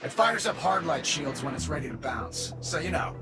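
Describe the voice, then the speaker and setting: A man's voice: a little high, lively intonation, moderate pace, vocal fry, over loud music. An actor voicing a brash male AI weapons maker in a video game, casual and cocky, explaining features and calling his user boss. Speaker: onboard AI guide.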